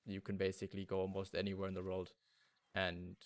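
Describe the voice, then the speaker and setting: Male streamer, German native, deep and flat delivery, casual running chat with viewers. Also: a little fast. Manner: thinking aloud, greeting chat viewers. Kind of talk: casual gaming livestream chat.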